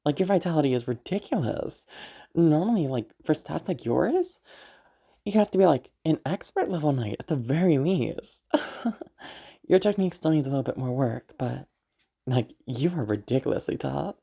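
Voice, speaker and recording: A woman acts out a young noblewoman mage in an intimate audio roleplay. Her voice is low and mellow, with a gravelly fry through it. She talks in halting, stammering bursts, flustered yet fondly protective of her knight. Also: expressive, heavily acted. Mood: fond, chatty. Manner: halting, stammering, giggly, mild swearing.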